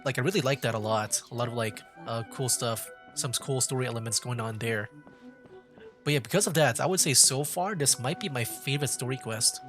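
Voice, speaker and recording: A man streaming a video game, chatting casually about it in a rambling, halting way and often repeating himself. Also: mid-range voice, fairly bright tone. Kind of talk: casual chat and game commentary. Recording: faint game music behind. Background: video game music.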